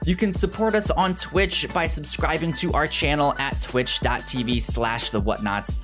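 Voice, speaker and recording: Man, podcast co-host, mid-pitched, animated intonation, stammering, casual chat. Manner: stammering, repeats words and syllables.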